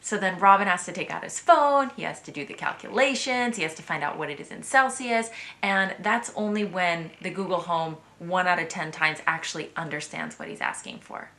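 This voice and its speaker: American woman vlogger in her thirties, bright and playful, chatting with asides to the camera. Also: lowish voice, sing-song, moderate pace. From United States. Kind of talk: casual vlog chat to camera.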